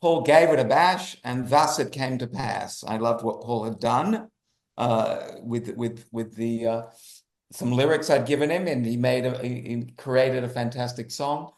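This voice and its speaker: A man's voice: medium pitch, measured pace, a little mellow. An Australian man answering interview questions as a guest, speaking emphatically and repeating phrases for effect. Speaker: guest, writer and animator. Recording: roomy, echoey sound.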